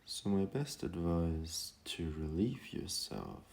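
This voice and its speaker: Guy doing guided meditation, super slow, lots of vocal fry, gentle and calm, pauses a lot. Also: sits low.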